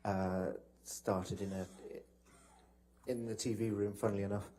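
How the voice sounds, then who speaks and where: A man giving testimony as a witness in a courtroom, hesitant and measured, with halting answers. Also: on the low side, little pitch movement, warm tone. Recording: noisy courtroom broadcast feed.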